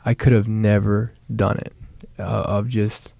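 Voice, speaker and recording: A man with a gravelly voice, recounting combat in an interview as a veteran, emphatic and repeating himself. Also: fairly low pitch, little pitch movement, unhurried, dark tone, no room sound. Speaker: war veteran being interviewed.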